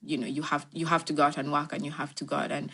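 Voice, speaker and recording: A woman's voice: low-pitched, little pitch movement, quick pace, fairly bright tone, breathy edge. A woman with a Ugandan accent giving reflective, explanatory answers in a conversational interview, often saying "you know". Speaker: tech organisation founder, interview guest.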